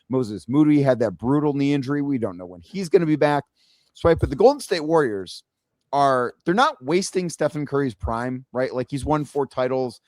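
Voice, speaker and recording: A man hosts a sports talk show in a hoarse, raspy voice, at a middle pitch. He is opinionated and drives his points home with rhetorical questions. The sound is close and dry, with no room around it. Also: darkish tone, no room sound. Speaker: podcast host.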